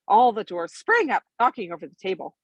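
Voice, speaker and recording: Lady reading a bedtime story out loud, bit raspy, very up and down, expressive, dry sound. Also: medium pitch, a little fast, no echo, muffled recording. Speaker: storybook reader.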